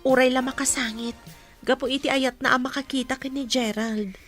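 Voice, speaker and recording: A woman's voice: high-pitched, fairly even intonation, a little fast, fairly bright tone, soft background music. A woman playing a helpful, coaxing young village daughter in a radio drama. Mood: concerned. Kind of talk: Ilocano radio drama acting.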